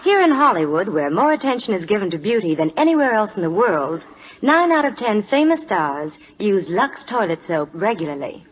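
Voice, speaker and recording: A woman's voice: medium pitch, big pitch swings, moderate pace. A woman reading a scripted 1940s radio advertisement in a polished, instructive sales manner. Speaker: radio commercial announcer. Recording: dull old radio recording.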